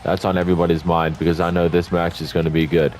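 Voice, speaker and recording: A man's voice: low voice, level tone, fast, darkish tone. A man doing casual, rambling play-by-play commentary over a wrestling video game, sounding congested and tired after just waking up. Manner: chatty, rambling asides, self-corrections. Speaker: YouTube gaming commentator. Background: faint game music.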